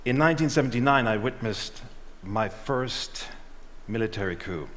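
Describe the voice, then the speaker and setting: A middle-aged man giving a prepared talk on stage, telling a measured story and letting his lines trail off. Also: medium pitch, expressive, fairly bright tone, slight background noise. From Switzerland and Ghana. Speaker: speaker. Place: large auditorium. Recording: reverberant hall.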